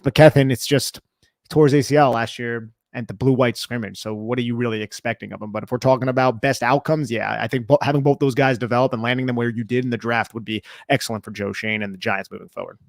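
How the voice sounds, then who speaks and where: A man's voice: mid-range voice, steady tone, fast talker, gravelly, no room sound. American man, dry close-up sound. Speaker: sports talk show co-host. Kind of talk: casual live Q&A analysis of American football.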